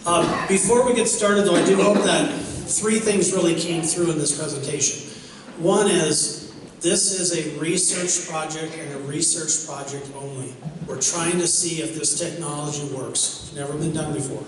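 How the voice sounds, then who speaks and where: A man's voice: fairly high pitch, moderate pace. A man moderating a public comment session, explaining the procedure and key points in an orderly way. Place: public meeting hall indoors. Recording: noisy echoing hall, from a distance.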